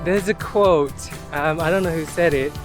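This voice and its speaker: A man's voice: fairly high pitch, moderate pace, over music. A man hosting a casual interview while walking outdoors, asking eager, curious questions. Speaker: podcast host. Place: outdoors in a park.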